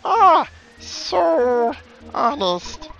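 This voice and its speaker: A man voicing a small, odd, gentle video game creature in slow, drawn-out broken phrases. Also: very high voice, very animated, gravelly, lo-fi sound, soft background music, pitched above the performer's own voice, full character voice. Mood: earnest, weary.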